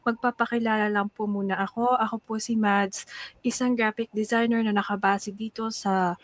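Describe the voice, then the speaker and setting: A woman from the Philippines, a graphic designer, pitching her work with confidence. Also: flat delivery, fairly quick, dry recording. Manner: confident, persuasive. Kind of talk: self-introduction pitch. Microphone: video call. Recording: dry close sound.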